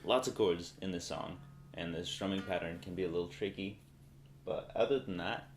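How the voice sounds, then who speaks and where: A young man in his twenties casually teaching a song on guitar, rambling and thinking aloud as he goes, seated in a small bright room and recorded by a camera a few feet away. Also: deep voice, moderate pace, a little hiss or hum. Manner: relaxed, rambling, thinks aloud mid-sentence. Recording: echoey room with acoustic guitar.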